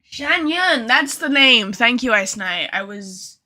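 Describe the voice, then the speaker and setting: A woman's voice: fairly high pitch, lively intonation, slow pace, bright, forceful tone. A woman chatting casually with viewers on a game livestream, thinking aloud in an informal, chatty way. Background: game music.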